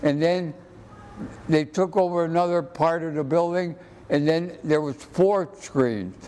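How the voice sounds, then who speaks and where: Older American male interview guest, unhurried, repeats words as he reminisces, slightly high voice. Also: darkish tone, smooth. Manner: halting, often repeats words. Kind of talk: conversational reminiscing.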